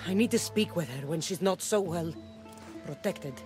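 A woman's voice: lowish voice, normal speed, over music, lightly acted. Blunt young warrior woman voiced by an actress. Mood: intense, troubled. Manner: blunt, assertive. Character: tough, defiant young Greek woman mercenary. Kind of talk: video game cutscene acting.

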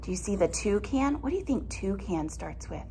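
A woman in her thirties reading a picture book aloud as a teacher, deliberate and expressive, explaining simply. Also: mid-range voice, a little hiss or hum. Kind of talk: children's read-aloud with simple questions. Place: classroom, indoors.

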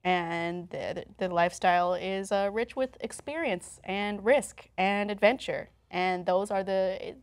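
A woman's voice: medium pitch, measured pace, hardly any pauses. Young woman co-hosting a studio talk show. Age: young adult. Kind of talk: conversational presenting from notes. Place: TV-style studio, indoors.

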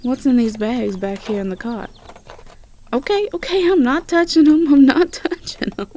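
A woman's voice: sits high, normal speed, mellow, a little hiss or hum. A woman playing a sweet, flustered Southern belle girlfriend in an intimate roleplay audio drama, with a Southern US accent and an affectionate, stammering delivery. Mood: playful, teasing.

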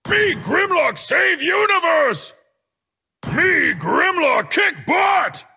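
A brutish robot dinosaur, bellowing in a high, gravelly growl. He is slow, blunt and boastful, a video game brawler with caveman grammar. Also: big pitch swings, bright, forceful tone, raised voice, full character voice. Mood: boastful, combative. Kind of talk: video game character lines.

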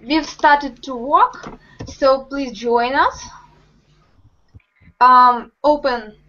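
Slow, high-voiced young woman teacher. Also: sharp tone, a little hiss or hum. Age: young adult. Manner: slow, patient, encouraging questions. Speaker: English teacher. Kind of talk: online group language lesson. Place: home room with bookshelves. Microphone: webcam on a video call. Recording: muffled video call audio.

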